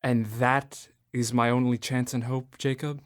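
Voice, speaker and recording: A man's voice: mid-range voice, level tone, measured pace, clean tone, natural voice. A cranky old miser in a radio play, voiced by an actor. He scoffs and doubts in a querulous tone, and turns wheedling and pleading when he gets frightened. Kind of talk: radio drama acting.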